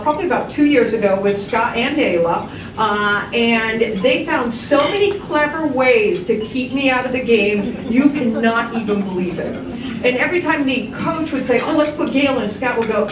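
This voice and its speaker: A woman's voice: fairly high pitch, background noise. A woman, a TV news reporter giving a chatty, self-deprecating trivia talk to an audience in a museum hall. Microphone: handheld microphone. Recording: echoey hall, mic at a distance.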